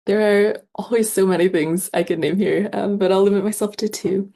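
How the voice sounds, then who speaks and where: A woman's voice: mid-range voice, steady tone, normal speed, mellow. A woman speaking as an author guest in a casual interview, reflective and thoughtful, often adding small conversational qualifiers.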